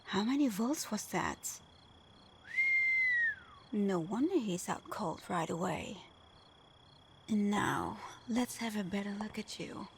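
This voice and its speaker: A woman's voice: mid-range voice, animated, slow pace, long pauses, noisy background. A woman playing a sly, flirtatious supervillain in a scripted ASMR roleplay, murmuring breathily with teasing hums. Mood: teasing, sarcastic. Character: flirtatious, sly female supervillain teasing her hero nemesis.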